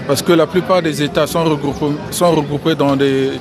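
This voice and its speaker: Congolese man, interviewee, measured delivery, slightly high voice, loud crowd around. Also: steady tone. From Republic of the Congo. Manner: measured, repeats key phrases. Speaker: interviewee, financial engineering expert. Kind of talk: outdoor press interview. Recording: crowd noise behind.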